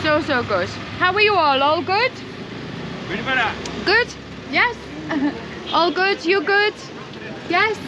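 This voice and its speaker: Woman travel vlogger, very high, lively voice, heavy traffic noise behind. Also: takes it slowly, long pauses, muffled recording. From England. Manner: chatty, friendly, enthusiastic. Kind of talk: casual travel vlog chat. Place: busy city street outdoors. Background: loud traffic noise.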